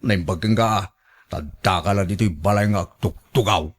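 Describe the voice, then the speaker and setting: A man's voice: sits low, normal speed, breathy edge. A man with an Ilocano accent playing a domineering husband who nags and orders his wife around in a radio drama. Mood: scolding, dismissive. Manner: domineering, nagging.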